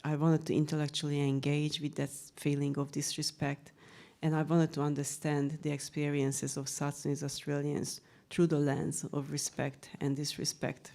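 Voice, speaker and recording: Middle-aged woman, Hungarian accent, low mellow voice, measured and earnest, presenting research at a panel. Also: completely flat delivery. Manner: measured, earnest delivery with occasional fillers. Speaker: author and researcher presenting her book. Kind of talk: prepared panel talk.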